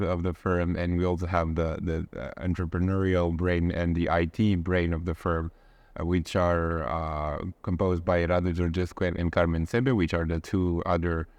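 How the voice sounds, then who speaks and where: A man's voice: sits low, a little mellow, no room sound. A young man in his twenties, a podcast guest, who talks with many restarts and repeated words. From Romania. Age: 20s. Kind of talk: casual interview.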